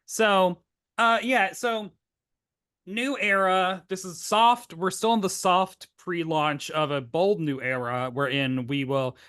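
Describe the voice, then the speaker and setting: A man with a fairly high voice chats as a podcast co-host in a dry, echo-free recording. He rambles casually and wryly, wandering into tangents and interrupting himself as he goes. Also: slow, fairly bright tone, smooth. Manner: wry, tangential, self-interrupting asides.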